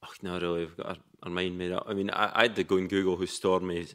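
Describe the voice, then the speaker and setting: A booming, fairly low-voiced man with a strong Perthshire Scottish accent tells stories on a comedy panel. He trips over his words and pokes fun at himself. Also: little pitch movement, quick pace, fairly bright tone, a little hiss or hum. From Perthshire, Scotland. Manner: hesitant, self-mocking storytelling. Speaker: comedian and farmer, regular panellist. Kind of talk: comic panel chat.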